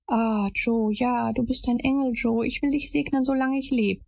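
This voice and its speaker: A woman's voice: a little high, fairly even intonation, normal speed, creaky, a little mellow, dry recording, pitched above the performer's own voice. A woman voicing a frightened, weak drunkard man in an audiobook, with a trembling, whiny voice and repetitive begging. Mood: tearful gratitude. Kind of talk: audiobook character acting.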